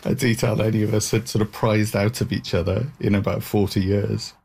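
A man's voice: lowish voice, fairly even intonation, a little fast, gravelly, a little mellow, slightly rough. A middle-aged man, talking reflectively and articulately as an interview guest, in a thoughtful storytelling way. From South Wales.

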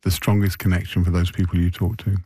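A man hosting a conversational interview, relaxed, often giving short encouraging replies. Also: sits low, quick pace, breathy edge, no echo, clean studio sound. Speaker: interviewer, host.

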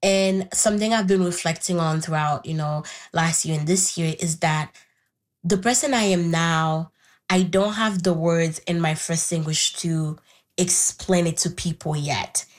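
A woman's voice: fairly low pitch, fairly even intonation, a little slow. A young woman from Haiti, a native Haitian Creole speaker, reflecting candidly and thoughtfully on her personal life as an interview guest. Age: young adult. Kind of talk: reflective personal storytelling in conversation.

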